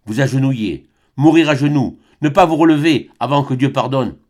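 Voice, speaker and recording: A man reading aloud, voicing a young priest who speaks gravely and with anguished resolve. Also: mid-range voice, normal speed, voice pushed up, lightly acted. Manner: solemn, quiet, grave.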